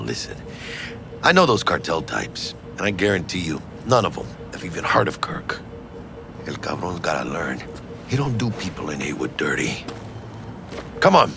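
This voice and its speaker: A man's voice: very deep voice, big pitch swings, a little slow, creaky, music in the background, exaggerated voice. A male actor voicing a brash, big-hearted street mercenary in a video game, with a Mexican American accent and slangy talk sprinkled with Spanish. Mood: brash, scornful. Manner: slangy, swaggering, Spanish words mixed in.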